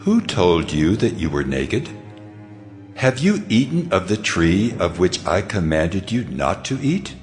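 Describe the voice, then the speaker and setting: Man doing a deep stern god voice, slow and grave, bit of music behind. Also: very animated. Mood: questioning. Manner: grave, measured pronouncements. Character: the Lord God, stern commanding male voice. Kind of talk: audio drama acting.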